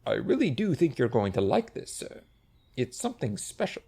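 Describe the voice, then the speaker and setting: A man's voice: mid-range voice, sing-song, normal speed, creaky, dark tone, slightly rough, heavily acted. A man voicing a nervous, deferential festival aide, hesitant and eager to please, in a comic audiobook. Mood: hushed, eager.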